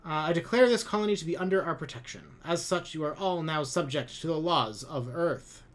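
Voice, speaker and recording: A man voicing a smug, pompous male military commander who declaims loudly and grandly. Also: on the high side, fairly quick, pitched above the performer's own voice, close to an everyday voice. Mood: grandiose.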